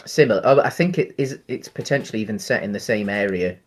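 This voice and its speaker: A man co-hosting a casual film discussion podcast, speaking in a hesitant, halting way. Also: lowish voice, slightly rough. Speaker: podcast co-host.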